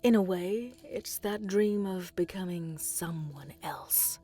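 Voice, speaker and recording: A woman playing a cruel, indulgent alien tyrant queen in an audio drama, menacing and breathy, often sinking into a whisper. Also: lowish voice, takes it slowly, warm tone, soft background music. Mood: wistful, sinister.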